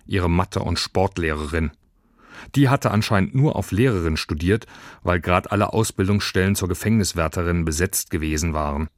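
Man, very low pitch, dry studio recording, scripted children's story narration. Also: dry recording.

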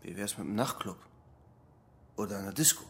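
A man's voice: fairly low pitch, fairly quick, a bit bright, husky. An actor playing an uneasy male double agent in a crime radio drama, with an understated, guarded delivery. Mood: businesslike, guarded. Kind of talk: German crime radio drama, narration and dialogue.

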